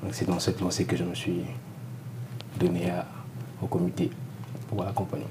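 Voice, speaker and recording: Deep, flat-voiced young man in a studio interview. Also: slight background noise, rough recording. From Republic of the Congo. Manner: measured, repeats and rephrases. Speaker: graduate student, committee volunteer. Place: small TV studio. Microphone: lapel.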